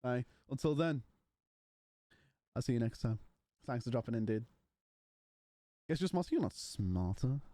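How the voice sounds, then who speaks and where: A man's voice: mid-range voice, lively intonation, normal speed, long pauses, dark tone, no room sound, clean studio sound. A man, casually chatting and bantering with his livestream chat. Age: 20s. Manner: banters with chat, mocking and playful. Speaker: streamer.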